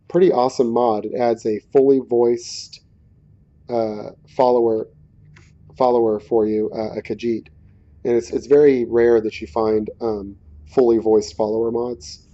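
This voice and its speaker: A man giving casual let's play commentary in a hesitant, low-key, conversational way. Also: mellow. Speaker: gaming video creator. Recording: dull muffled audio, faint music behind. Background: faint game music.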